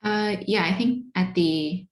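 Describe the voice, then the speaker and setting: A woman's voice: mid-range voice, measured pace, roomy sound. A woman, an assistant professor, speaking briefly and conversationally in a webinar. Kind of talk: casual webinar remarks.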